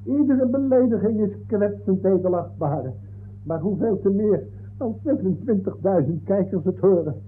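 Indignant pedantic referee, very high husky voice, fussy formal delivery, 1960s comic radio play, dull recording. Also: male voice, animated, normal speed. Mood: offended. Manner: indignant, formal, fussily consulting notes. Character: aggrieved, pedantic male football referee testifying in court.

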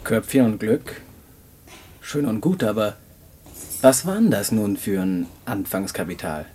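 An actor playing a curious, sceptical listener who asks probing questions in a radio play. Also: male voice, fairly low pitch, unhurried, warm tone, slight background noise, close to an everyday voice.

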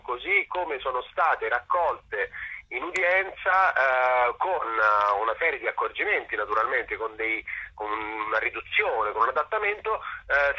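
Male phone interviewee, very bright and somewhat high, careful and hesitant. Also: breathy edge. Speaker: theatre guest on radio. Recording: radio interview over telephone line.

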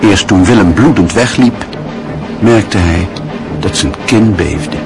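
A man narrating a literary radio drama in a measured, even storytelling manner. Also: low voice, loud music behind the voice.

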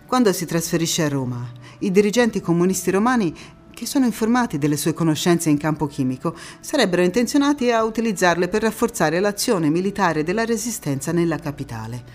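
An actress playing a passionate wartime partisan woman in a theatrical monologue, phrasing every line with deliberate emphasis. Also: lowish voice, expressive, lightly acted. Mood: measured narrating. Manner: emphatic, deliberate phrasing swinging between hushed intimacy and fervour. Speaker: stage actress. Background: music.

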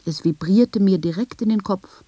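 A woman reads scripted, first-person literary narration in a measured, reflective manner with deliberate phrasing. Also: mid-range voice, warm tone, dry recording, muffled recording. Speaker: narrator of a literary radio piece.

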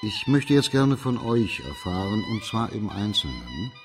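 An actor in a radio drama playing a stern, authoritative judge of ancient China, questioning formally and articulately. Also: male voice, medium pitch, huge pitch swings, measured pace, music in the background. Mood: composed, commanding. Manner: formal, probing, articulate interrogation.

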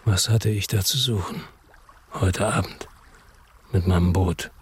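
An actor playing an embittered, defiant noir hero in a German radio drama, speaking in a terse, grim, weary way. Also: male voice, low-pitched, fairly even intonation, unhurried, slight background noise. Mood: brooding narration. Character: embittered, defiant noir hero telling his own story. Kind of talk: German radio drama acting and first-person narration.

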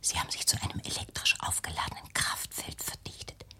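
An actress in a radio play playing an anxious, controlling mother, hushed and insinuating, with a husky, breathy voice. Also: fairly quick, in a whisper, lightly acted. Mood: eerie, conspiratorial.

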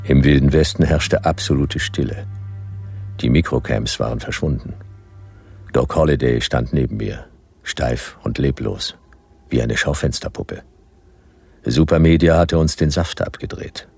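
An actor playing a hard-boiled detective who narrates his own story tersely in a radio play, with a gravelly voice. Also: rumbling low, a little slow, long pauses, darkish tone, no echo, faint music behind, heavily acted. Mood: terse, grim. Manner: terse, clipped sentences.

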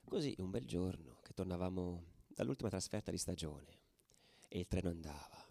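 A man's voice: sits low, lively intonation, normal speed, gravelly, heavily acted. A man acting as a combative football ultra in a radio play, telling his story in colloquial, dramatic style. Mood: tense recollection. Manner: colloquial, swinging from hushed to shouting. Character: angry, combative male football ultra recounting street battles. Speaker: actor narrating a monologue.

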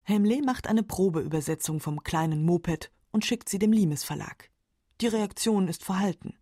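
A woman's voice: on the low side, moderate pace, warm tone, no room sound. A woman reading scripted narration for a literary radio documentary, close on a studio microphone.

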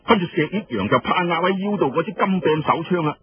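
A man's voice: a little high, lively intonation, fast talker. A man with a Cantonese accent narrating a story in a dramatic, colloquial style with conversational asides. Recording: dull lo-fi radio-style audio, faint noise.

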